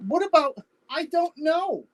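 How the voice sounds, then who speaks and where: A woman playing a defensive, evasive older husband, a Vietnam veteran, halting and exasperated in a naturalistic play reading. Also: very high voice, animated. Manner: halting, defensive, repeats words. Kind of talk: virtual staged play reading, naturalistic dialogue.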